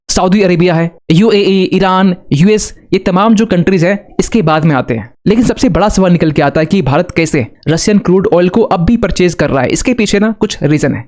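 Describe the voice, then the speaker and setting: A man's voice: high voice, darkish tone. A man presenting explanatory current affairs commentary in a didactic way, mixing in English terms. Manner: didactic, addresses viewers with rhetorical questions.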